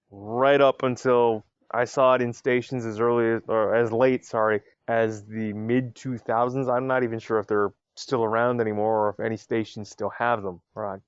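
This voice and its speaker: A man talks casually as the host of his own podcast, opinionated and full of asides. His voice sits at a middling pitch. The sound is dry and a little dull. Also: smooth, dry recording. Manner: chatty, opinionated, many asides. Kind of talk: casual solo podcast talk.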